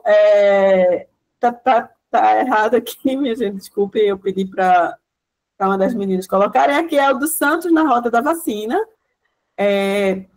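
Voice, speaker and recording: Female lecturer, slightly high voice, informal and explanatory, checking in with frequent tag questions. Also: poor audio. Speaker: university lecturer. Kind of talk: informal lecture presenting a project.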